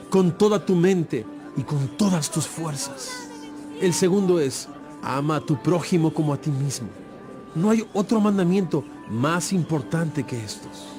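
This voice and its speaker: A composed, authoritative holy teacher in a biblical audio drama. His voice is on the higher side, solemn and emphatic, and music plays clearly underneath. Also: expressive, measured pace. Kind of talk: dramatized audio Bible acting. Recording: music playing behind.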